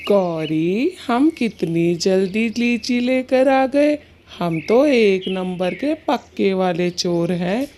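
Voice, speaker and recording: Woman doing a sly boastful bird husband for a kids cartoon, mellow and unhurried, agrees with his wife. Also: medium pitch, lively intonation, slight background noise. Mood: smug, pleased. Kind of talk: Hindi children's cartoon dialogue.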